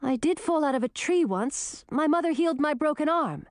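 A woman's voice: high voice, expressive, moderate pace, no room sound, studio quality, voice pushed up. A woman voicing an earnest young heroine who talks in an eager, anxious and pleading way.

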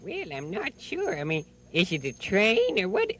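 A man's voice: high-pitched, huge pitch swings, moderate pace, fairly bright tone, heavily acted. A man voicing a mild, good-natured, folksy puppet fellow in a children's show, speaking hesitantly. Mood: mild, unsure. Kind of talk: children's television puppet play.

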